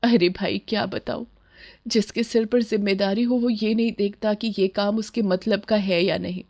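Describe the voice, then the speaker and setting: A woman's voice: mid-range voice, steady tone, moderate pace, darkish tone, lightly acted. Weary, humble widowed mother voiced by a woman. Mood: weary, resigned. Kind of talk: storybook dialogue in Hindi.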